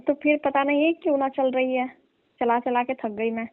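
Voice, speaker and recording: A woman plays a coaxing village aunt in a comic phone-call skit. Her voice is on the high side, colloquial and teasing, and pushy in her flirting. The sound is thin and dry, like a recorded phone call. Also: fairly even intonation, moderate pace, dry recording, close to an everyday voice. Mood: complaining, coaxing.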